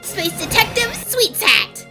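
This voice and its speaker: A woman voicing an excitable, theatrical young woman in a fan-dubbed detective cartoon, speaking dramatically. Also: extremely high pitch, takes it slowly, faint music behind, full character voice. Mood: excited announcing. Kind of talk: fan dub voice acting.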